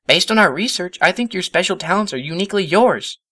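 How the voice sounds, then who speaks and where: A man's voice: high-pitched, expressive, quick pace, pushed and bright, pitched above the performer's own voice, exaggerated voice. A man voicing an earnest, bookish young female cartoon pony in a reassuring manner, as in a storybook reading. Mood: firm, earnest.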